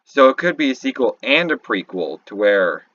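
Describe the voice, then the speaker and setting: A man's voice: medium pitch, big pitch swings, a bit bright, no room sound. Rambling man, dull dry recording. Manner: rambling, halting, thinking aloud. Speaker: YouTube commentator. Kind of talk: casual off-the-cuff game lore analysis.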